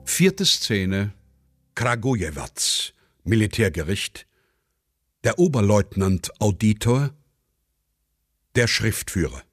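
A man's voice: on the low side, very animated, slow pace, long pauses, breathy edge, no echo, faint music behind. A man reading scene headings and stage directions as the narrator of a scripted radio drama.

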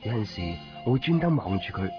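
A man's voice: on the low side, big pitch swings, a little fast. An actor playing an earnest, curious young man with a Hong Kong Cantonese accent, talking and narrating in a radio drama. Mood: wry, chatty. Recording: dull 1990s radio, loud music behind. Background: music.

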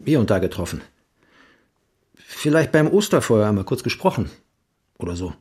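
An actor playing a casual, dismissive writer husband in an audio drama, with a laconic, offhand way of talking. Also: male voice, on the low side, very animated, moderate pace, a little mellow, breathy edge. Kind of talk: German audio drama acting.

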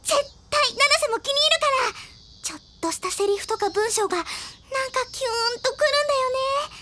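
A woman playing a bubbly, caring high school girl in an anime audio drama, girlish and gushing in her chatter. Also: animated, brisk, background noise.

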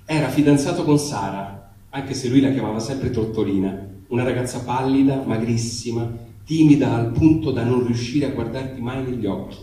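A man's voice: medium pitch, moderate pace, warm tone. A man giving a wry, comic reading of a letter, told as a story. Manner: wry storytelling, acting out dialogue. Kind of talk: comic literary reading before a microphone. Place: echoey room, picked up from afar. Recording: very echoey, distant mic, faint noise.

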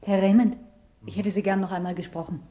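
An actress playing a proud, secretive, anguished young gentlewoman in a German radio crime drama, formal and solemnly dramatic. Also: mid-range voice, steady tone, brisk, natural voice. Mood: formal, discreet.

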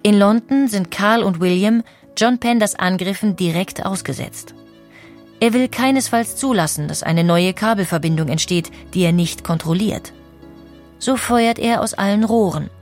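A woman narrating a history documentary in a clear, composed storytelling manner. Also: mid-range voice, normal speed, a little mellow, faint music behind.

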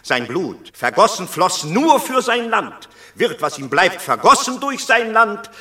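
Animated, earnest Roman peacemaker. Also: male voice, sits high, unhurried, full character voice. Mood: impassioned appeal. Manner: earnest oratorical stage diction. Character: reasonable Roman elder statesman, conciliatory and earnest. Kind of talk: classic German radio drama acting.

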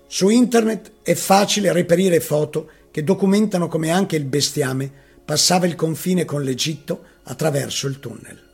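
Husky, creaky-voiced man reading a memoir. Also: on the high side, darkish tone. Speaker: reader. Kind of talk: dramatic reading of an eyewitness memoir. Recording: music playing behind the voice.

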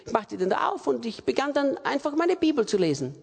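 Reverberant hall, male preacher, Austrian accent, gravelly voice, emphatic and repetitive, impassioned sermon delivery. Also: extremely high pitch, big pitch swings, a little fast. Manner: emphatic, repetitive, rising to fervent exhortation. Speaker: pastor preaching.

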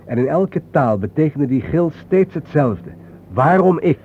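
An actor playing a solemn, reflective journalist in a radio play, speaking in grave, deliberate, philosophical phrases. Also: male voice, on the high side, expressive. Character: solemn, reflective male journalist haunted by war dead. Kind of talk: radio drama acting. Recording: thin phone-like old radio recording, faint noise.

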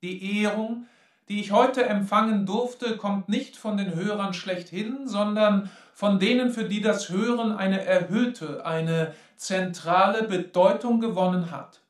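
Slow, high-voiced formal literary man. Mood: solemn. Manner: measured, formal, deliberate. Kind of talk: formal speech in a radio play. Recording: roomy, distant from microphone.

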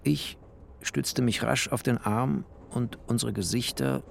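A bookish, weary refugee narrator in a literary audio drama. His mid-pitched, gravelly voice delivers terse, deliberate, expressive phrases over clearly audible ambient noise. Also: exaggerated voice. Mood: terse, brooding. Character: bookish male first-person narrator, a weary refugee traveller. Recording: clear noisy ambience behind the voice.

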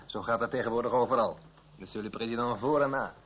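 A confident revolutionary politician played by a male actor, speaking with a husky edge and wry conversational ease. It sounds like an old radio play with a dull, narrow sound. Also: medium pitch, quick pace, lightly acted. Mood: busy, friendly. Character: busy French revolutionary deputy, wry and confident.

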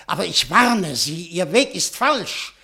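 An actor playing a stern, suspicious official in a radio drama, insistent and threatening in manner. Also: male voice, high voice, animated, unhurried, gravelly, bright, forceful tone, exaggerated voice. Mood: menacing. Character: stern, suspicious, menacing male official giving warnings.

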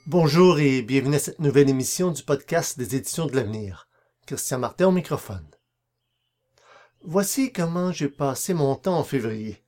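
A man's voice: mid-range voice, expressive, moderate pace, darkish tone. A man with a Quebec French accent presenting a podcast as its host in a scripted narration style.

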